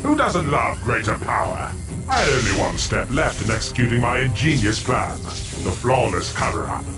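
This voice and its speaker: A man's voice: medium pitch, sing-song, normal speed, lightly acted. A man voicing a gloating red monster mad scientist in a cartoon, theatrical, condescending and smug. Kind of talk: animated cartoon villain acting. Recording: loud music behind the voice.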